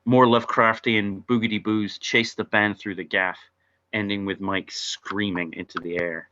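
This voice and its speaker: A man's voice: fairly low pitch, little pitch movement, normal speed, bright, forceful tone. A man narrating stage directions from a script at an informal table read, heard over lo-fi video call audio.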